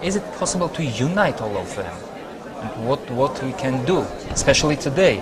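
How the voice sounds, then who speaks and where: A male interviewer asking haltingly phrased questions. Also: medium pitch, big pitch swings. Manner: brief affirmations, questions asked haltingly. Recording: crowd and noise outdoors.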